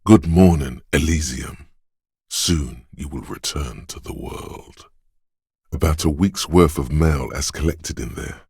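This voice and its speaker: An actor voicing a disembodied male inner sense of perception in a game, speaking in a hushed, measured, quietly descriptive way in the second person. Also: a bass voice, husky, no room sound, studio quality, exaggerated voice. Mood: hushed observant.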